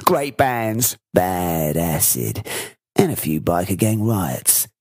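Casting: easygoing, world-weary animated adventurer , male performer, husky, wry, with a roaming pitch. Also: slow pace, full character voice. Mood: laid-back, wry. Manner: casual, wry understatement. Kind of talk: cartoon character acting.

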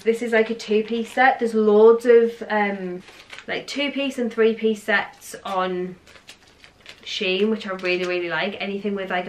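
A woman's voice: mid-range voice, a little hiss or hum. A young woman in her twenties, chatting casually in a clothing haul vlog, rambling with lots of fillers. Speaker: vlogger. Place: living room, seated on sofa.

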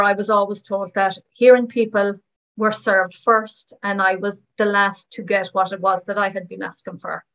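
A woman's voice: mid-range voice, a bit bright, low-quality recording. Woman voicing signed testimonies. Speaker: voice-over interpreter for signers. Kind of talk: voicing first-person testimonies in plain narration.

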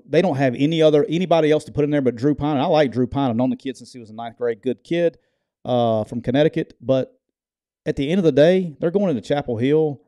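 A man's voice: medium pitch, mellow, dry recording. A middle-aged man co-hosting a sports podcast, brash and jokey, often stammering through restarts, speaking into a studio mic in a home studio. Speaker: podcast co-host. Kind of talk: casual sports betting chat. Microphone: headphones and studio mic.

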